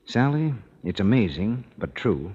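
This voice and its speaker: A deep-voiced male announcer delivers a formal, salesy 1930s radio pitch. His husky voice moves around a lot in pitch. The recording is dull and muffled, with a long hall reverb. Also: very animated, measured pace, dark tone.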